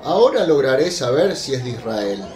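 An actor playing a humble, curious, talkative fisherman disciple in a radio drama, with a folksy, animated manner. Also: medium pitch, normal speed, a faint crowd, close to an everyday voice. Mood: eager. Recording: echoey room with some background noise.

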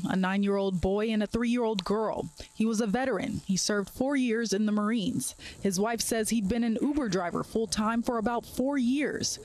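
A woman news reporter delivering a measured report, partly live from outdoors with a handheld mic. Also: on the high side, a little mellow, a little hiss or hum, low-quality recording. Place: outdoors at a gas station.

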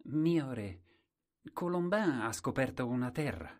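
A man's voice: medium pitch, very animated, unhurried, voice pushed up. A man voicing a humble, simple-minded young man at a royal court, speaking meekly and crying out eagerly. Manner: soft, humble, wide-eyed replies, cries out eagerly.

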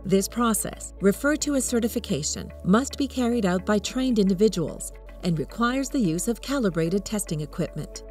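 Measured woman narrator over music. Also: medium pitch, animated, a little mellow. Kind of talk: scripted narration.

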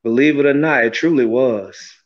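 A man in his thirties speaks earnestly and reflectively, leaning on "you know" as he works through his thoughts. His voice sits at an ordinary middle pitch. The sound is slightly muffled and lo-fi, as on a webcam call from a small room. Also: moderate pace. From Florida, USA. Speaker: gospel recording artist, guest. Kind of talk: casual interview over video call. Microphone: webcam on a video call, close framing. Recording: slightly dull lo-fi video call audio.

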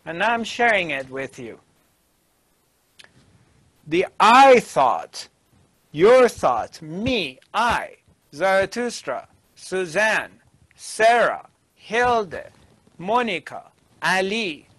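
A middle-aged man giving a spiritual discourse as a teacher, emphatic and deliberate, with pauses. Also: high voice, big pitch swings, a bit bright, dry recording. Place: small dark indoor studio. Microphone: lapel mic.

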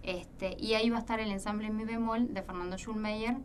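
A woman from Salta speaks with an Argentine accent as a guest in a radio studio, pausing often and restarting her sentences. Her pitch sits in a middle range. She is picked up on a distant mic with faint noise behind her. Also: steady tone. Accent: Argentine Spanish. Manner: hesitant, many fillers and restarts. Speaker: guest guitarist. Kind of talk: casual radio interview.

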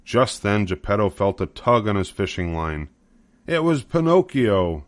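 An older man reads a children's story as its narrator. His voice sits fairly low and is recorded close and dry, with scripted storybook phrasing. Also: very animated, a little slow, no echo.

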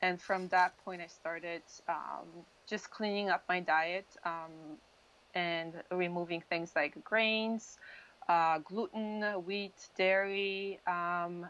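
Low-pitched woman from Poland, dull call audio. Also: steady tone, takes it slowly, a bit bright. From Poland, moved to US at thirteen. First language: Polish. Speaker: interview guest. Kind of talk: casual personal storytelling. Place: dim indoor room, video call. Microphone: wired earphone mic.

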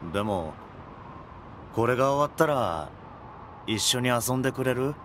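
A man's voice: mid-range voice, lively intonation, measured pace, fairly bright tone, slight background noise. A man voicing a childlike, sulky but eager younger brother, a humanlike machine in a Japanese game. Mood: resigned, hopeful. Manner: sulky, boyish, eager to please.

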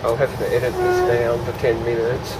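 A man's voice: huge pitch swings, fairly quick. A teenage boy with an Australian accent, filming on a train and talking matter-of-factly. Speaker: camera holder. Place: moving train carriage. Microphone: handheld camera mic. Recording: loud train rumble behind.

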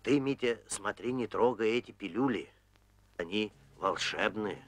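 An actor playing an elderly grandfatherly man in a cartoon, talking to a child in an expressive, mock-serious way. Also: lowish voice, a little slow. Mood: cautioning. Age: older. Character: elderly grandfatherly man gently warning a small boy. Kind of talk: animated film dialogue. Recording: loud music under the voice.

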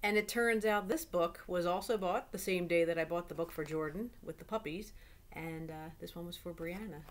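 A woman's voice: lowish voice, moderate pace. A middle-aged woman reads a children's picture book aloud as a storyteller at home. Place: small room at home.

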